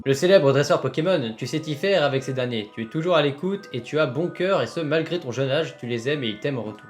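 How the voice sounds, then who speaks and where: Casting: young male video host, very lively intonation, slightly high pitch, self-mocking humour, soft music behind. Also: fast talker. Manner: playful, self-mocking, animated with mock-dramatic asides. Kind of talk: casual commentary while reading out online quizzes.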